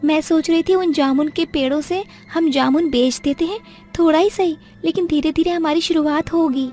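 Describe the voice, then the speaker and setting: A woman's voice: sits high, moderate pace, mellow, faint music behind, voice pushed up, natural voice. A woman voicing a cheerful, eager young daughter-in-law who exclaims her lines in a family story. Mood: excited, hopeful.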